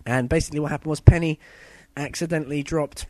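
A young man hosting a casual podcast, a bit husky from a sore throat, chatty and rambling. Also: a little high, fairly even intonation, quick pace, no room sound. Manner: chatty, loose and rambling, with fillers and self-corrections. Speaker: podcast host. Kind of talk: casual solo podcast chat.

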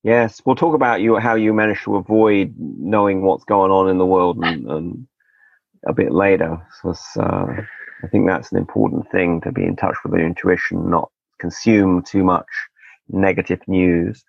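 Gravelly man hosting a podcast. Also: low-pitched, normal speed, no room sound. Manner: chatty, digressive, wry asides. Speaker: podcast host. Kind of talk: casual interview chat. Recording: dull lo-fi dry sound.

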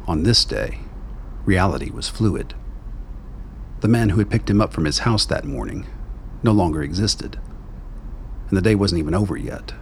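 A man with a gravelly voice reading scripted dramatic narration in a measured, brooding storytelling manner. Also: low-pitched, a little hiss or hum.

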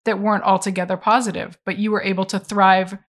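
A woman's voice: mid-range voice, level tone, fast. A woman interviewing a guest, affirming and frequently murmuring agreement. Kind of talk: interview conversation.

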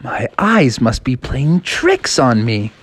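A man voicing Froggy, an excitable young frog boy who talks in an eager, insistent way. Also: medium pitch, takes it slowly, husky, heavily acted.

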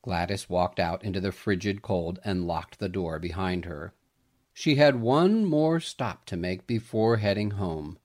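A man narrating a scripted family story in a gentle, dramatic, sentimental manner. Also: low-pitched, unhurried.

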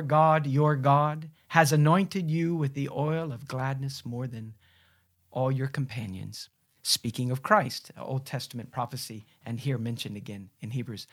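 A man with a mid-range voice introduces a sermon by telling stories from church history. He speaks as a host, informally and earnestly, explaining as he goes. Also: mid-range voice.

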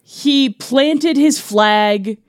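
A woman's voice: high-pitched, drawn-out words, no echo. Blunt young woman, dry close recording. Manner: blunt, sweary, emphatic. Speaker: podcast co-host. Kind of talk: casual comedy podcast chat.

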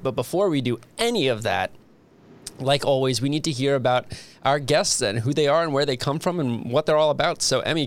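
Chatty male podcast host. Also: medium pitch. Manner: friendly, chatty, laughs often. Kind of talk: casual interview.

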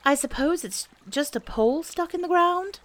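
Woman doing small boy, storybook, high pitch, lively, earnest, kindly. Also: normal speed. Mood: shyly curious. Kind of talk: storybook character voice.

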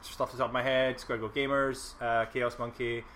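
A man with a bright, forward voice chats loosely on a podcast, laughing easily and padding his talk with ums and uhs. He sits in an indoor room with a few people watching, picked up on a phone, with a faint hiss underneath. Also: mid-range voice, little pitch movement, moderate pace. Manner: giggly, laughs often, rambling with many fillers. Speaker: podcast co-host. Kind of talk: casual chat, live-streamed podcast. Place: indoor room with a small audience. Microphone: phone recording the room.

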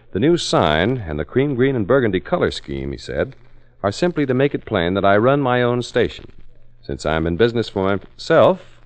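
A man's voice: fairly low pitch, low-quality recording. A man announcing in 1940s radio style, with a polished, folksy sales delivery.

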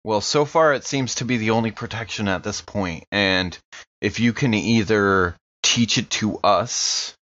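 A man's voice: on the low side, measured pace, a bit bright, no room sound. A man playing an easygoing carnival worker in an improvised tabletop horror game, earnest, casual and full of questions, on a slightly dull microphone. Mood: earnest, curious. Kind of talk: improvised in-character tabletop dialogue.

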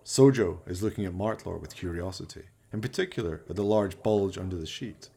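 A man's voice: sits low, a little fast, creaky, mellow, slightly rough. A man delivering theatrical, scripted narration for a comic horror radio play, in an arch, melodramatic manner.

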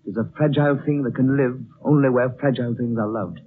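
An actor playing a grave, world-weary, dreamy English gentleman with a solemn, measured delivery in 1930s radio drama style. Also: mid-range voice, a little hiss or hum, close to an everyday voice. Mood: solemn, wistful. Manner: slow-weighted, solemn, measured. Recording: muffled, phone-like 1930s radio broadcast.

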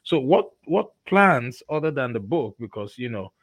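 A man hosting an interview podcast, affirming his guest and asking questions deliberately. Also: mid-range voice, big pitch swings, breathy edge, dry recording. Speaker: podcast host. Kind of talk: conversational interview.

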